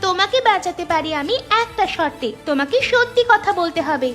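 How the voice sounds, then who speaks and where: A woman's voice: extremely high pitch, animated, brisk, a bit bright. A woman voicing a determined, crafty young village girl in a Bengali cartoon, speaking firmly and insistently. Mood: stern. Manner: firm, insistent. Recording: music behind the voice.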